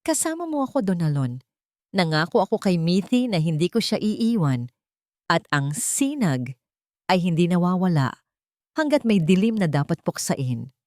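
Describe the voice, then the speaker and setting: A woman narrator plays a brave, loyal female ally in an audio drama. She sounds earnest and resolute, her pitch moving widely in a dry recording. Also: very animated, normal speed, a little mellow, dry recording.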